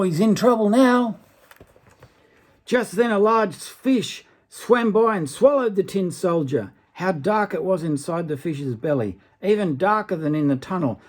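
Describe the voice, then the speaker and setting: An older man reads a children's storybook aloud with dramatic expression, chatting to the listener about it. His voice is husky and a bit high for a man. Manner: dramatic, with asides to the young listener. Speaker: storybook reader.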